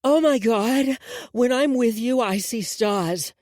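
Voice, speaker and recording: A man voicing a sharp-tongued young woman who answers with dry mocking wit. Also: sits high, very animated, moderate pace, no room sound, voice pushed up.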